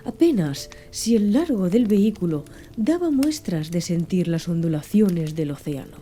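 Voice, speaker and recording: Hushed asmr audiobook lady, super mellow dark voice, slow and soft, quiet music under. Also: on the low side, expressive. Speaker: audiobook narrator. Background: faint background music.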